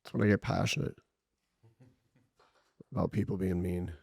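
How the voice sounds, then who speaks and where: A man co-hosting a podcast, loud and swearing in casual chat, his voice swinging up and down. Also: low voice, fairly even intonation, normal speed, gravelly, husky, no room sound. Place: podcast studio.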